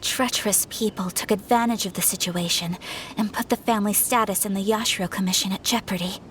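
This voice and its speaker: A woman's voice: mid-range voice, fairly even intonation, fairly quick, fairly bright tone, slight background noise, close to an everyday voice, low-quality recording. A woman playing a refined young noblewoman in a video game, speaking in a polite, composed and formal way. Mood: composed, earnest. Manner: poised, formal, courteous. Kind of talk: video game story dialogue.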